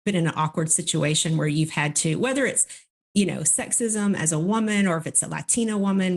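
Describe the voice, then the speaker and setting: Low-voiced woman interviewer. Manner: searching, restarts questions. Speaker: podcast co-host. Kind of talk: interview questions.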